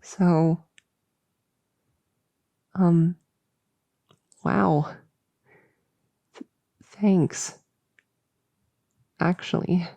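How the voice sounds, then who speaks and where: A woman playing a nervous, lonely cultist who summons demons, in an intimate sleep-aid roleplay, stammering and rambling softly with breathy edges into a close microphone. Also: on the low side, steady tone, no echo, full character voice. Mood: tender, shy. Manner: flustered, halting, stammering, rambling. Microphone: close, dry microphone.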